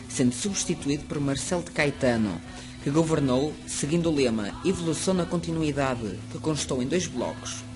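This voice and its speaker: A man reading a school history presentation aloud, steady and prepared. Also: fairly high pitch. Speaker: student narrator. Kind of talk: school project narration read aloud. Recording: music playing under the voice. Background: music.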